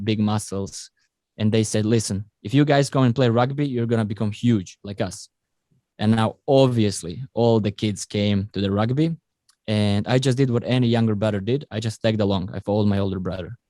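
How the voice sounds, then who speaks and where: A man's voice: on the low side, no room sound, clean studio sound. A man, telling his story as a podcast guest in slightly phrasing. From Croatia. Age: 20s. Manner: non-native phrasing, fillers, lively storytelling. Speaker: podcast guest, rugby player. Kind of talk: casual interview storytelling.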